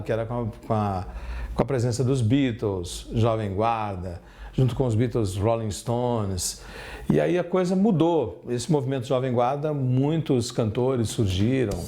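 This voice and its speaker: A man's voice: medium pitch, dark tone. An older man with a Brazilian accent reminiscing in an interview.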